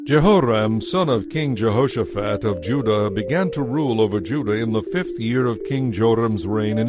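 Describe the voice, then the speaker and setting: A man's voice: fairly low pitch, noisy background. A man reading scripted narration for an audio Bible.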